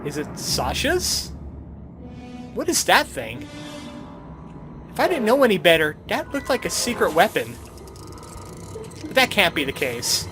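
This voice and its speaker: Animated game commentator over music. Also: mid-range voice, measured pace, long pauses. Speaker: gaming video host. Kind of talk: Let's Play commentary. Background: adventure game music.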